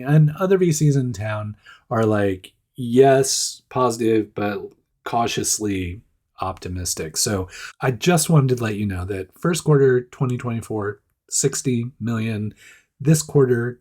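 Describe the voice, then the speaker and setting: Stuffy-nosed man chatting startup news. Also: mid-range voice. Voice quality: nasal. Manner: chatty, animated asides, mock-voices others. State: stuffed up, rough voice. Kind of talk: casual weekly news roundup.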